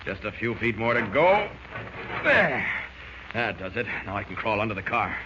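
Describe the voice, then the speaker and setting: An actor playing a heroic, resolute superhero, urgently thinking aloud in 1940s radio serial style. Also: male voice, fairly low pitch, big pitch swings, normal speed. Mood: urgent, determined. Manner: dramatic, urgent self-narration. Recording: noisy, dull old radio recording.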